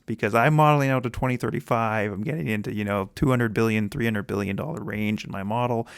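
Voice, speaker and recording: A man's voice: mid-range voice, gravelly, dry recording, clean studio sound. A man giving informal solo commentary on business as a show host, thinking aloud and often rephrasing himself, with an enthusiastic manner. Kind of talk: informal solo commentary on a company earnings call.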